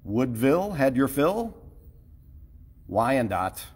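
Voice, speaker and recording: A man's voice: medium pitch, sing-song, slow, darkish tone, husky, long reverb tail. A man, a TV chief meteorologist with a Midwestern American accent, playfully reciting rhyming weather notes in a sing-song way.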